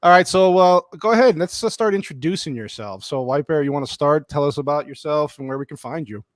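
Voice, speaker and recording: A man's voice: fairly high pitch, brisk, dry recording, studio quality. A man hosting a casual movie livestream, loose and joking with many fillers. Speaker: livestream host.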